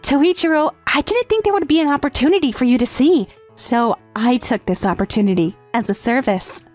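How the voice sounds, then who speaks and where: A woman's voice: sits high, brisk, darkish tone, natural voice. A woman playing a devoted, clingy young step-sister who teases coyly in an English-dubbed manga romantic comedy. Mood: coy, teasing. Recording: music behind.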